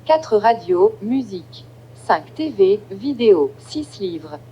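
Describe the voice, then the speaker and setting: A synthetic female device voice reading out menu items in brisk, clipped phrases. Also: medium pitch, very animated, dark tone, husky, highs cut off. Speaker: device voice assistant.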